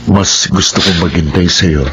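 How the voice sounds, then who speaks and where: A man's voice: low voice, steady tone, measured pace. A man playing a patient, devoted young suitor in a Filipino melodrama, tender and earnest. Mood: tender, pleading. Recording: dull sound with faint noise.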